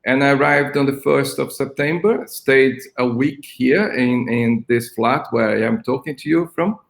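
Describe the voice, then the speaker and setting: An older man from Brazil, a school principal, speaking haltingly with many ums on a video call from his flat. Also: medium pitch, vocal fry, echoey room. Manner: hesitant, many ums and restarts. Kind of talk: online interview. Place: flat at home.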